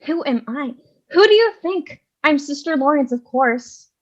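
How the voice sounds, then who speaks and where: A woman's voice: high voice, expressive, unhurried, highs cut off. A woman playing a brash, sarcastic, scolding nun narrator in a comic stage reading. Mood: indignant. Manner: scolding, sassy. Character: brash, sarcastic nun who takes over storytelling.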